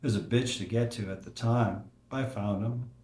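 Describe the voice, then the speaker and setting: An actor playing a wistful, adventurous older father who speaks softly and reflectively in a table read. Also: fairly low pitch, steady tone, fairly quick, a little hiss or hum, natural voice. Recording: roomy echo.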